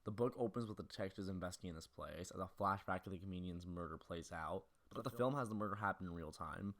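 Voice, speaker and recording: Young guy doing video essay narration, really deep voice, talks pretty fast. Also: a bit bright. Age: early 20s. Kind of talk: scripted video essay narration, film critique.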